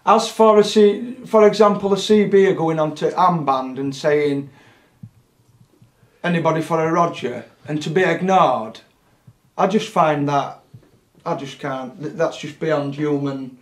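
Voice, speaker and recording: A man's voice: fairly high pitch, measured pace, roomy sound. A man, a CB radio operator, talking bluntly and passionately in a casual interview, often repeating himself.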